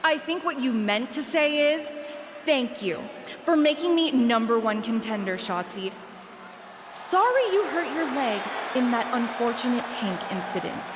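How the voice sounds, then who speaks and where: A vengeful female wrestler in a video game promo. She takes her time, mocking and accusing her rival with sardonic bite. Long reverb and clear noise surround the voice. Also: fairly high pitch, lively intonation, a little slow.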